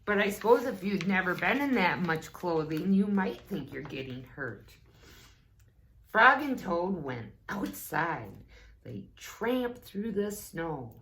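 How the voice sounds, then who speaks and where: A woman reading a story aloud to young children in an unhurried, expressive way, with casual questioning asides. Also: lowish voice. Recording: roomy echo.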